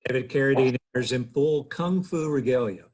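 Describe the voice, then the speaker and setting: A man's voice: mid-range voice, a little fast, darkish tone, slight background noise. A man in his thirties narrating stage directions at a table read, from home over a video call. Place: living room, video call.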